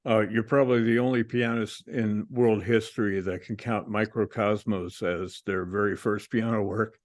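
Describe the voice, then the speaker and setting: A man hosting a classical music radio interview, asking measured, polite questions with small hesitations. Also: lowish voice, steady tone. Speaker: radio host.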